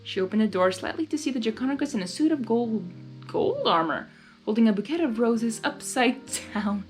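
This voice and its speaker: High-pitched, expressive woman storyteller. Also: moderate pace. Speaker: fan fiction reader and commentator. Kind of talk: expressive story narration with casual spoken reactions. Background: faint music.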